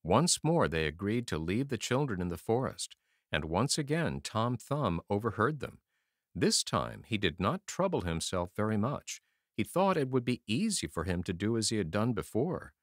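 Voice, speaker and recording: A man narrating a fairy tale as an audiobook reader, in a measured, expressive storytelling manner. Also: fairly low pitch, no room sound, studio quality.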